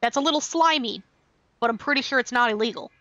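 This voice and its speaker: A woman's voice: fairly high pitch, quick pace, pushed and bright, dry recording, lo-fi sound. A woman giving casual live commentary as she streams a game, wry, playful and sarcastic. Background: game music.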